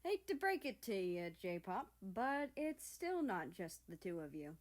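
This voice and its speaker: A woman's voice: mid-range voice, very animated, a little slow. A woman voicing a brash, smug teenager in a story, with sarcastic, teasing retorts. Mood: sardonic. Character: brash, smug teenage country-person, teasing and hot-headed. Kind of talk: animated storybook character voice.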